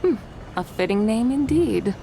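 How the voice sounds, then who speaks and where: Lofty, whimsical ancient horse immortal. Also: female voice, fairly high pitch, lively intonation, measured pace, gravelly, dark tone, a little hiss or hum, low-quality recording. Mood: lofty, approving. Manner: lofty, theatrical, poetic archaic phrasing. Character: ancient proud whimsical female horse adeptus, immortal spirit. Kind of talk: video game character dialogue.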